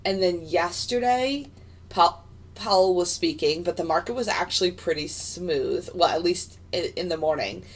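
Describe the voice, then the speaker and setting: A woman with a raspy voice and hiccups, chatting casually and rambling as she streams live trading commentary from her desk. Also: fairly low pitch, normal speed. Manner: chatty, rambling, many fillers and restarts. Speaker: futures day trader streaming live. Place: home desk in front of a computer.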